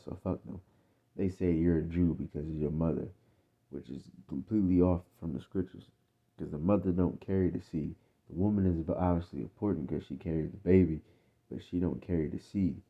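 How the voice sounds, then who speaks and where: A man with a very low voice and an American accent teaches scripture off the cuff. He talks bluntly, with swearing, repeated phrases and frequent check-ins. The sound is thin and muffled, as if over a phone. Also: steady tone, brisk, husky. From United States. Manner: blunt, profane, repetitive street-talk delivery with frequent check-in phrases. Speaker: religious teacher. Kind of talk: informal off-the-cuff religious teaching.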